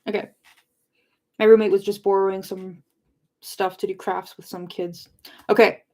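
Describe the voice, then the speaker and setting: A woman's voice: mid-range voice, flat delivery, normal speed, a little mellow. A young woman chatting casually with live viewers, informal and rambling as she drifts between points. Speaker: livestream host of a book discussion.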